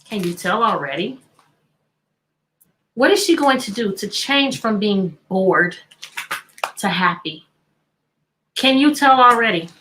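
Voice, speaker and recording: A woman's voice: mid-range voice, expressive, slow pace, pauses often, pushed and bright, a little hiss or hum, rough recording. A woman teacher hosting an interactive children's read-aloud, telling the story expressively and putting repeated questions to her listeners.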